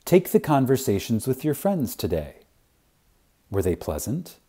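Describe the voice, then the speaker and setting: A man reading an inspirational spiritual lecture aloud in a reverent, dramatic way, with long pauses and strong emphasis. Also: medium pitch, sing-song, dark tone, breathy edge.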